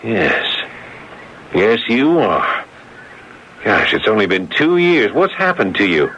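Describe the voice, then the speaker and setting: A man's voice: on the low side, very animated, takes it slowly, sharp tone, slight background noise, full character voice. A man acting in a radio drama as a bitter, wry former employee turned self-styled healer, speaking theatrically. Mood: bitter, mocking. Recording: dull lo-fi radio recording.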